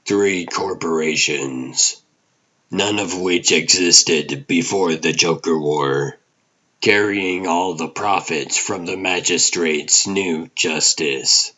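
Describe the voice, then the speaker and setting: A man playing a brooding vigilante detective, narrating a comic drama in a grim, deliberate noir manner. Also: deep voice, pushed and bright, husky, highs cut off, exaggerated voice. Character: aging vigilante hero, brooding hard-boiled detective narrator. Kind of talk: scripted comic dub, noir inner monologue.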